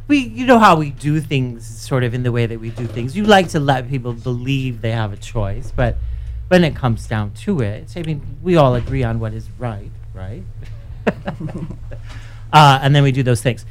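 A man hosting a morning radio show in a studio, chatty and a little halting, laughing easily. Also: medium pitch, expressive, a little hiss or hum. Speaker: radio host. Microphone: studio microphone.

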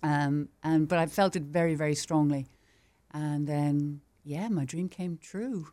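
A woman's voice: low-pitched, measured pace. A woman in her fifties with an Irish accent, talking casually about teaching art and laughing often. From Ireland. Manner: chatty, laughs often, warm and enthusiastic. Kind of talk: casual interview chat.

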